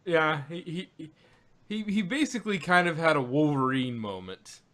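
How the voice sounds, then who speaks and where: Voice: medium pitch, animated, normal speed, fairly bright tone. A podcast co-host in casual, joking fan chat. The voice sits in a middle register and rises and falls freely.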